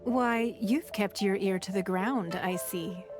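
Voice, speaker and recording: A woman playing a poised, shrewd and authoritative noblewoman in an anime video game, speaking in a formal, composed, measured way. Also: mid-range voice, natural voice. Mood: gracious, sly. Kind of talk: anime video game voice acting, expository dialogue. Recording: music behind the voice. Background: game music.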